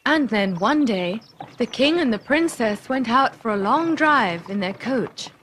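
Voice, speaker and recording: A woman's voice: a little high, animated, unhurried, a bit bright, a little hiss or hum. A woman narrator reading a scripted children's fairy tale.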